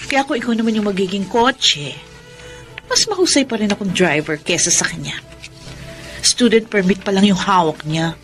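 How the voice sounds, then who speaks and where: An actress with a Filipino accent playing a brazen, flirty and jealous mistress in a radio romance drama. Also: female voice, mid-range voice, animated, normal speed, faint music behind, close to an everyday voice. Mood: boastful, seductive.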